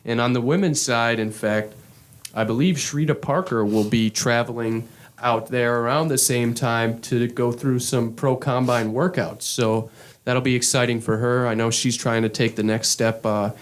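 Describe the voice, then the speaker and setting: A male host of a casual sports talk show speaks at an ordinary middle pitch. He is unhurried and relaxed. He fills his pauses with "uh" and "um". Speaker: podcast host.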